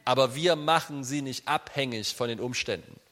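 A man preaching an informal sermon in an animated, conversational way, speaking directly to his listeners. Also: mid-range voice, normal speed. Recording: reverberant hall sound.

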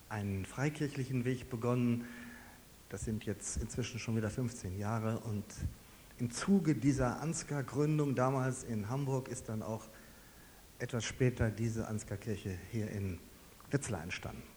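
Older German pastor in reverberant hall. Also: male voice, mid-range voice. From Westphalia, Germany. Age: 60s. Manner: conversational, personal, lively emphasis. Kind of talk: sermon to a small gathering. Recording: reverberant hall with faint hiss.

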